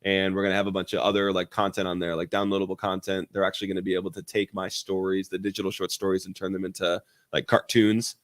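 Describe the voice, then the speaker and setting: A man talking casually as a podcast guest, easygoing and halting with frequent ums. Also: low-pitched, little pitch movement, no room sound, studio quality. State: recently over the flu. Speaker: podcast guest, children's author.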